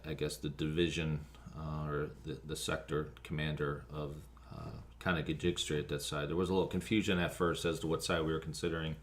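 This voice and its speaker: An American man, a fire officer being interviewed, recounting events haltingly with frequent ums and pauses. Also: extremely low pitch, level tone. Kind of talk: spoken account of an emergency call, interview.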